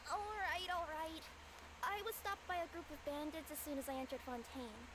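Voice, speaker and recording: A woman's voice: sits high, lively intonation, normal speed. A woman voicing a cheerful young two-tailed cat yokai courier girl who talks in a sheepish, evasive way. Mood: cheery, sheepish. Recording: game audio with background noise.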